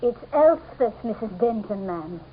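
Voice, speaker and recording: A woman's voice: on the high side, animated, unhurried, husky. An actress playing a sly, insistent lady's maid with sinister composure in an old-time radio mystery, coaxing and knowing. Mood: deferential. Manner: coaxing, knowing, deferential on the surface. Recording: dull old radio drama recording.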